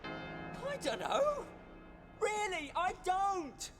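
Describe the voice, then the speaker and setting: A man's voice: very high voice, very animated, extremely slow, exaggerated voice. An actor playing a nervous male hitman in a video game cutscene, evasive and rambling. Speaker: hired gunman in a game cutscene. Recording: loud game music under the voice.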